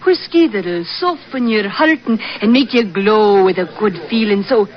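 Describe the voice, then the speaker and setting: An actress playing an effusive, flattering Irish village barmaid with colourful, gushing speech, in 1940s radio drama style. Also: female voice, mid-range voice, moderate pace, lightly acted. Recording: muffled old radio recording.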